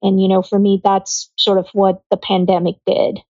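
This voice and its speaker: A woman in her thirties from Kenya, a podcast guest speaking in a steady, reflective way. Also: medium pitch, normal speed, no echo. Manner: steady, reflective, even-toned. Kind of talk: casual interview chat. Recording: dry, dull lo-fi sound.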